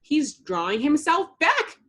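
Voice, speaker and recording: A woman's voice: sits high, huge pitch swings, slow, a bit bright, pitched above the performer's own voice, full character voice. A woman voicing a bossy, tough little girl superhero from a children's storybook, curt and punchy. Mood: bold.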